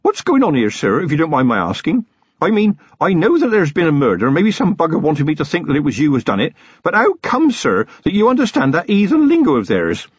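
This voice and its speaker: A man playing a nervous young constable, voice raised high and tight, tumbling over his words. He keeps deferring and apologising. Dry, slightly dull recording. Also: huge pitch swings, slightly rough, no room sound, exaggerated voice. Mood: anxious. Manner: anxious, rushed, deferential, keeps saying sir.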